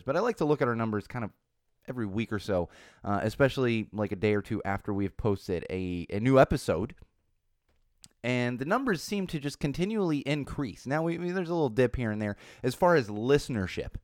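A man in his thirties hosting his podcast, losing his voice so it sounds a bit raspy, rambling and repeating himself as he runs through plugs. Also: mid-range voice, darkish tone, no echo, clean studio sound. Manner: rambling, repeats words, self-corrects. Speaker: podcast host. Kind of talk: casual solo podcast intro with plugs. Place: small podcast studio. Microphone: studio microphone.

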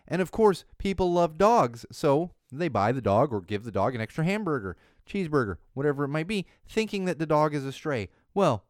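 Chatty male podcast host, slightly hoarse. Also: on the high side, no echo, studio quality. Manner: chatty, rambling, self-correcting. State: losing his voice. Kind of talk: casual news podcast monologue. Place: studio.

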